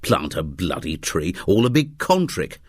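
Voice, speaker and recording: Male story narrator: low, husky and dry-recorded, wry and very animated in delivery. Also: a little slow, dry recording. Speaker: audiobook narrator. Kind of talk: scripted fiction narration.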